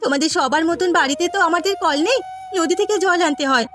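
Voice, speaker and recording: A woman's voice: extremely high pitch, fast talker, fairly bright tone, soft background music. A woman voicing a poor, earnest young village housemaid in a cartoon story, with a plaintive, imploring manner. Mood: pleading. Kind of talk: Bengali cartoon story voice acting.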